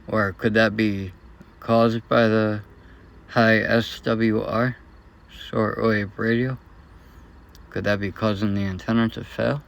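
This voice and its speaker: A man talking in a casual, unscripted vlog, troubleshooting in a hesitant and unsure, halting way. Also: lowish voice, vocal fry, a bit bright. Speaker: vlogger.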